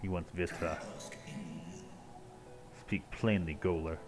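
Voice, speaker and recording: A man's voice: low voice, fairly even intonation, takes it slowly. A man giving casual, blunt, sweary live reactions as he streams a video game. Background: game music.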